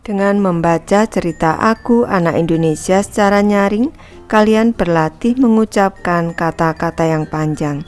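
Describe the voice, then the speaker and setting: Woman teacher, lowish voice, explaining a lesson to children, soft music behind. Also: moderate pace. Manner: calls herself Ibu and addresses the class as anak-anak. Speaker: primary school teacher.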